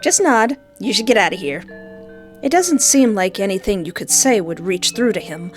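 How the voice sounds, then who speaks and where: A woman's voice: medium pitch, lively intonation, normal speed, faint music behind. A woman reading a visual novel aloud and breaking in with sarcastic jokes and squeals of mock panic. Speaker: let's play gamer.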